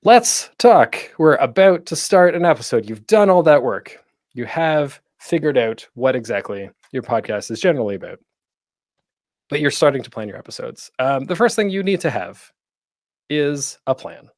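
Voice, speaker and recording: A man's voice: mid-range voice, animated, moderate pace, a little mellow, no echo, clean studio sound. A man presenting an instructional panel in a casual, informal way, often breaking off into asides. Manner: informal, self-interrupting, tangential asides.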